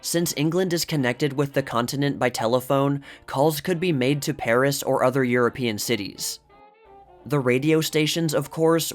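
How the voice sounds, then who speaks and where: A man with a medium-pitched voice reads a magazine article aloud as scripted narration. His pace and pitch range are ordinary, and music plays clearly behind him.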